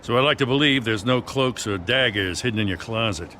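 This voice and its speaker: An actor playing an honest, world-weary middle-aged American police lieutenant in a video game, talking bluntly and plainly. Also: male voice, mid-range voice, fairly quick, sharp tone, a little hiss or hum, natural voice. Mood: firm, blunt. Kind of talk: dramatic video game acting.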